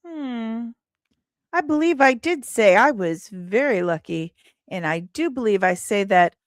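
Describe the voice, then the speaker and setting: Slow-paced, high-voiced woman livestreamer. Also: clear, resonant tone, no echo, studio quality. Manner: playful, teasing, repeats phrases for emphasis. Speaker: livestream host. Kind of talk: casual livestream chat about entertainment news.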